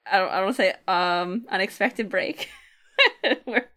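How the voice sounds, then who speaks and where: Female podcast co-host, mid-pitched, casual and chatty, given to joking. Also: unhurried, a bit bright.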